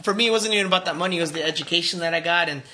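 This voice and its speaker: A man's voice: fairly high pitch, rapid-fire, sharp tone. A man hosting a podcast, chatting casually and laughing often as he rambles on. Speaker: podcast host.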